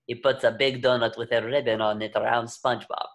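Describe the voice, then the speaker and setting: A man's voice: mid-range voice, little pitch movement, brisk. A man narrating the action from a script at a table reading, as scripted stage directions.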